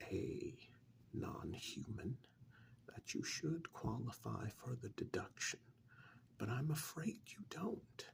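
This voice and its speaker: A man acts out a polite, officious tax auditor in an ASMR role-play. He speaks very slowly in a near whisper, with heavy vocal fry. His phrasing is hesitant and bureaucratic, and he stays mild and unflappable. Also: medium pitch, exaggerated voice. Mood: calm, firm. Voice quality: breathy, whispery, creaky.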